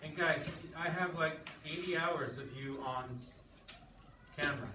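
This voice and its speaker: A man with a slightly high voice teaches a class, thinking aloud and checking understanding as he goes. He sounds a little far from the mic in a very echoey room, with clear background noise. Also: a little slow. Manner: thinking aloud, often checking with "okay" and "right". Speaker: English teacher. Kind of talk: classroom lesson. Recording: distant, echoey, noisy classroom recording.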